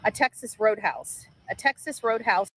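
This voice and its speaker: A woman's voice: medium pitch, sing-song, normal speed, fairly bright tone, breathy edge, dry recording. Woman vlogger, dry close sound. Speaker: amateur investigator vlogger. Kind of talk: casual on-location update to viewers.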